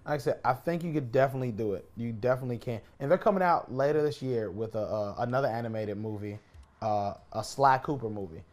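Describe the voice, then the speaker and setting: A man's voice: mid-range voice, fast, darkish tone. A man chatting casually while gaming, blunt and opinionated, often repeating himself for emphasis. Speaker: podcast guest or panelist. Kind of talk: casual chat while playing a video game.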